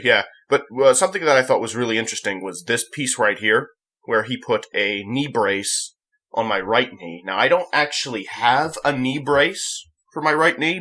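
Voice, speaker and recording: A young man hosting a gaming channel, talking off the cuff in a rambling, halting way, often correcting himself. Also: medium pitch, sharp tone. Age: young adult. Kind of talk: casual unscripted vlog commentary.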